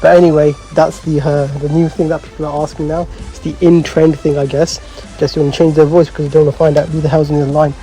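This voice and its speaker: A man with a fairly high but soft, mellow voice chats casually as a host. He talks in loose slang with some swearing and a bemused, storytelling manner. Music plays behind him. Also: flat delivery, quick pace, low-quality recording. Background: background music.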